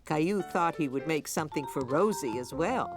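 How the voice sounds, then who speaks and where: A woman narrating a children's cartoon in a gentle, scripted storybook manner. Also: deep voice, lively intonation, normal speed, over music.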